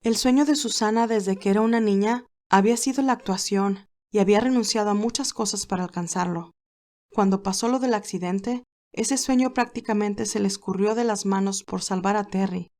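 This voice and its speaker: A woman voicing a tender, sincere, nostalgic young woman in a dramatized romantic story, speaking earnestly and gently. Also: medium pitch, a little fast, natural voice. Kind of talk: dramatized romantic fan fiction.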